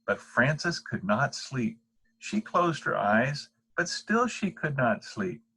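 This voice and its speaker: An older man reading a children's bedtime story in a deliberate, expressive narrating style. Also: mid-range voice, creaky. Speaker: storybook reader.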